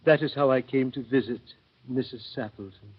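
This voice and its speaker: An actor playing a nervous, fussy man who keeps clearing his throat, narrating in a 1930s radio drama. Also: mid-range voice, normal speed, natural voice. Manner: prim, throat-clearing, precise. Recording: dull old radio broadcast.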